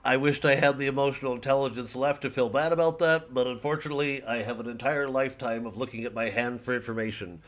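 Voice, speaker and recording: A man giving a rambling, digressive comedian's monologue, halting and sardonic, peppered with casual filler phrases. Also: medium pitch, steady tone, a bit bright. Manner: sardonic, mocking, profane when worked up. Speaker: comedian promoting his show.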